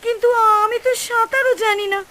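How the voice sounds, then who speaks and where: A woman's voice: very high voice, fairly even intonation, fairly quick, sharp tone. A woman playing a greedy, scheming, impatient village aunt in a Bengali cartoon, with theatrical, exclamatory delivery. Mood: alarmed, panicking. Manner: exclamatory, theatrical, coaxing and scolding. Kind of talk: Bengali cartoon moral-story acting.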